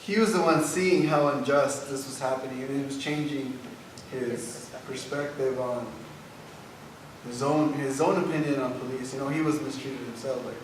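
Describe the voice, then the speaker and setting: A man's voice: medium pitch, fairly even intonation, normal speed. A man speaking informally in an audience discussion, tentative and searching for his words. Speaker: member of the production team. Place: large echoey indoor arts venue. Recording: very echoey hall, distant mic, noisy.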